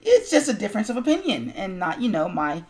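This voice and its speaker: Expressive woman with lively, swinging pitch. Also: a little fast. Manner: expressive, reactive interjections. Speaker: stream co-host. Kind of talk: casual chat on a live stream.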